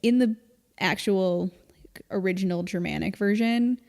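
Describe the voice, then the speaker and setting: A woman co-hosting a podcast, explaining things in casual, informal chat with an animated storytelling manner. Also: medium pitch, moderate pace. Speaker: podcast co-host. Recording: long reverb, hall-like room.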